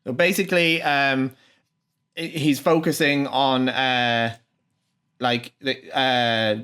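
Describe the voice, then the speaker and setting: A man hosting his own channel, translating a video message in an informal, off-the-cuff way, with many pauses, ums and restarts. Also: medium pitch, steady tone, sharp tone, clean tone. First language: not Japanese.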